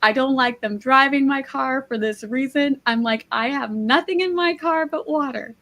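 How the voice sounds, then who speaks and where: High-voiced woman, soft and worn. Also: moderate pace. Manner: soft-spoken, laughs often. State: losing her voice. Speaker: livestream host. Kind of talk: casual chat on a writing stream. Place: at home.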